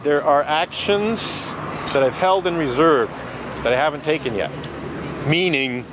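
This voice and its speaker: A man protesting outdoors, talking in an animated, indignant way and laughing often. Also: on the high side, unhurried. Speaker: street protester. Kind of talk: casual outdoor conversation. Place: outdoors on a city street. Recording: outdoor traffic noise.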